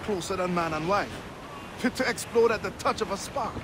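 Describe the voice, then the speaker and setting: An actor playing a dignified, practical pirate quartermaster in a video game, formal wording and dry wit. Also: male voice, high voice, fairly quick, background noise, close to an everyday voice. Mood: dry, earnest. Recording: loud music and sea sounds behind. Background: music, waves.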